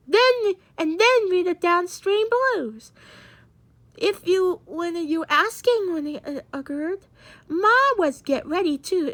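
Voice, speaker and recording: A woman reading a storybook aloud in a halting, stumbling way, often muddling her words. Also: very high voice, sharp tone.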